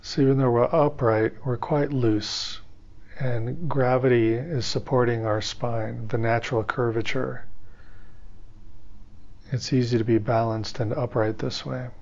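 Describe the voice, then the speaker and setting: A man's voice: mid-range voice, fairly even intonation, vocal fry, a little mellow. Man guiding meditation, slightly dull recording. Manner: unhurried step-by-step instruction with pauses. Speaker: guided meditation teacher. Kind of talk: guided meditation instruction. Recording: slightly dull, band-limited audio.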